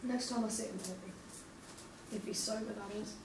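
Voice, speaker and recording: A woman's voice: medium pitch, fairly even intonation, normal speed, close to an everyday voice. A woman reading the part of an upset, wounded young woman at a drama table read, recorded at a distance in a noisy, echoey room. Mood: hesitant, anxious.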